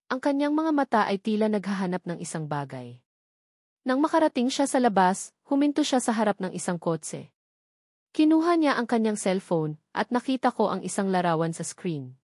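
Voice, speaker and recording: A woman's voice: mid-range voice, darkish tone, no echo, studio quality. A woman with a Filipino accent narrates a scripted first-person romance story in an emotive way, setting short phrases apart for drama.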